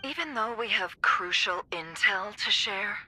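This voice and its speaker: A woman voicing an assured, businesslike informant in a game, composed and deliberate. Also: medium pitch, expressive, pushed and bright, faint music behind.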